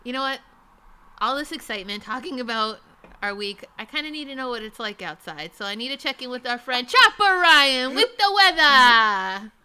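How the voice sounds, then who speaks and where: Bright, slightly high-voiced woman hosting. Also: lively intonation, moderate pace, smooth. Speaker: podcast host. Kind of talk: casual comedic chat, introducing segments.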